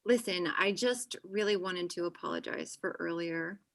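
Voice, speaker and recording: A woman's voice: on the low side, normal speed, vocal fry. A young woman acting a blunt, sarcastic, apologetic best friend in a naturalistic script read over a video call. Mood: apologetic, earnest. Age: young adult. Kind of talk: acted pilot table read, naturalistic. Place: small room at home, video call. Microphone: computer video-call mic.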